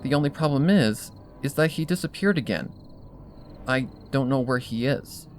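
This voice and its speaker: A man's voice: medium pitch, normal speed, soft background music, natural voice. A man voicing an anxious, guarded female pony in a fan fiction reading, curt and evasive.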